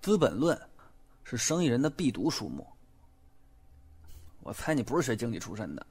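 A man playing a shrewd, bossy young businessman in an audio drama, animated and coaching in manner. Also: mid-range voice, normal speed, close to an everyday voice. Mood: casual, gentle. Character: shrewd, bossy, lively young businessman, soft-hearted underneath. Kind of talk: Chinese audio drama acting.

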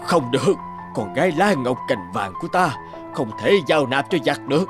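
Guy doing a worried old cartoon emperor, proud dad type, very animated voice, music under it. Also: medium pitch, normal speed, natural voice. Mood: protesting, anguished. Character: aging anguished emperor, fatherly, proud, burdened by war. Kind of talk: cartoon folk tale dialogue.

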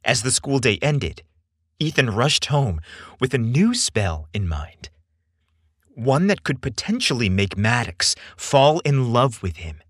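A man reads a dramatic story at an unhurried pace. His delivery is theatrical and deliberate, with a lively, swinging intonation. The voice is slightly rough and husky, captured close and dry. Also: medium pitch, no room sound. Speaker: podcast storyteller. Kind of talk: scripted narration, dramatic storytelling.

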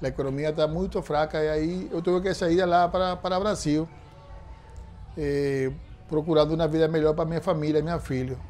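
A man in his forties from Venezuela talks in a somewhat high voice, stopping now and then to find the right word. Faint music runs under the interview. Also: fairly even intonation, normal speed, darkish tone. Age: 40s. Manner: searching for words, fillers. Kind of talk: documentary interview. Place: indoor workshop.

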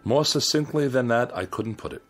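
A man's voice: medium pitch, animated, moderate pace, faint music behind, lightly acted. An actor in a radio drama playing a bored, callous, sardonic immortal man who craves thrills, with a theatrical delivery. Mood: smug.

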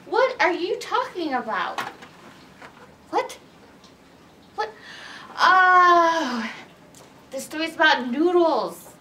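A middle-aged woman hosting a read-aloud story time for young children, animated and teacherly in manner. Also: very high voice. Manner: animated, exaggerated, teacherly, asking questions. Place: indoors in a library, by bookshelves. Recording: roomy distance from mic, background noise.

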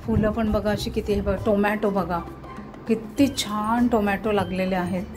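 A woman's voice: mid-range voice, fairly even intonation, moderate pace. Chatty woman vlogger over music. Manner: enthusiastic, points things out to viewers. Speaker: travel vlogger. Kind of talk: casual narration touring a farm. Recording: music playing underneath.

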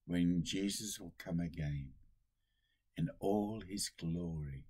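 Slow, deep-voiced older priest preaching. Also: male voice, a little mellow, husky. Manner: slow, solemn and deliberate, with emphatic rises. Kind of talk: sermon. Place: small room at home.

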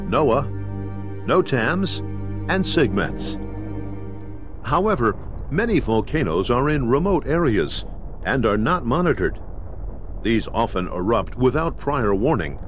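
A man with an American accent gives measured, formal, instructional narration in the style of a scripted training video. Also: mid-range voice. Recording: 1990s videotape with clear background noise.

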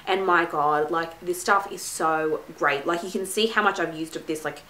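A woman's voice: on the low side, normal speed, pushed and bright. Congested woman gushing about products. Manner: gushing, chatty, lots of "like" and "my goodness". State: congested from a cold. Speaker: beauty vlogger. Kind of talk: casual product review. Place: small room at home, plain wall behind. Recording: echoey room with faint hiss.

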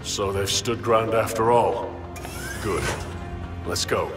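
An actor playing a gruff, sardonic marine with a curt manner in a video game. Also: male voice, lowish voice, slow, over loud music. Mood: wry, resolute. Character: gruff, sardonic male marine in combat suit.

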